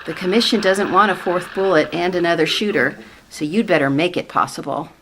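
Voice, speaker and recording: A wry, skeptical government woman played by an actress in a radio sitcom. She is fairly low-pitched, dry and knowingly insistent, with slight noise behind her. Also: fairly quick, fairly bright tone, poor audio. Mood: scolding. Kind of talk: sitcom radio play acting.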